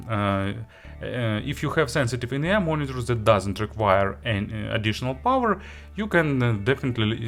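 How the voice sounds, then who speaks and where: Male reviewer, non-native English, over music. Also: on the low side. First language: not English. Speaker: audio gear reviewer. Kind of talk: semi-scripted product review narration.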